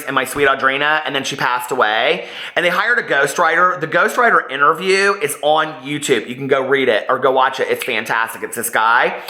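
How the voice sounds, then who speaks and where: An American man in his forties hosting a casual book vlog, talking in an animated, gossipy, emphatic way. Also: on the high side. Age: 40s. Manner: animated, gossipy, emphatic, often repeating himself for effect. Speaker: book vlogger and host. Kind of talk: casual chatty vlog with bits read aloud. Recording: roomy, echoing indoor sound.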